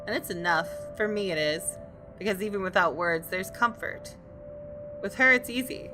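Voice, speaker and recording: A woman's voice: medium pitch, moderate pace, over music. A woman gaming host reading visual novel narration aloud, sometimes in a casual conversational style.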